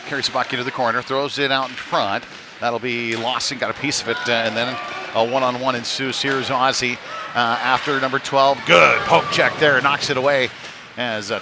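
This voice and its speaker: A man with a medium-pitched voice commentates live on a youth hockey game. His delivery is conversational and a little halting, with plenty of filled pauses. The clatter and ambient noise of an ice rink is clearly heard behind him. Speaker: play-by-play commentator. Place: chilly indoor ice rink.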